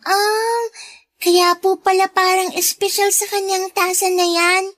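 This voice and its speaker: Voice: very high-pitched, flat delivery, a little slow, a bit bright, no echo, clean studio sound. A performer voicing a polite, timid little girl in a Filipino radio drama, speaking in a childlike, deferential way. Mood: curious, admiring. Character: sweet, polite, timid little girl, younger half-sister.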